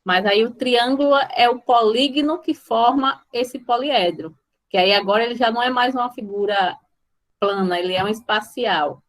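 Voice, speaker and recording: A woman's voice: a little high, moderate pace. Brazilian woman teaching an online class. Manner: questioning, checking on students. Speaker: mathematics teacher. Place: live online video class.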